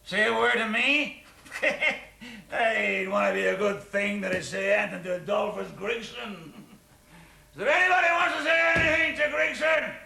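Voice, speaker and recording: A drunken Irish lodger with a high, bright, gravelly voice that is husky at the edges. He brags loudly, laughs at his own words and swings into shouting. This is an old stage-style radio drama. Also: fairly even intonation, moderate pace, full character voice. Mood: drunken bluster. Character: drunken boastful Irish lodger, middle-aged man.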